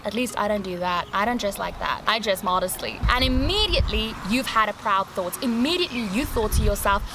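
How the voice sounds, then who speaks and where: Dramatic, energetic young woman outdoors. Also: medium pitch, fairly quick. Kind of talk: faith talk to camera. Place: outdoor garden patio. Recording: outdoor background noise.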